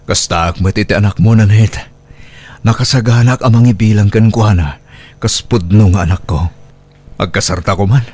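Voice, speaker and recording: A man's voice: fairly low pitch, normal speed, a little mellow, slightly rough. An actor playing an affectionate single father courting his first love in an Ilocano radio drama, tender and earnest, with an Ilocano accent.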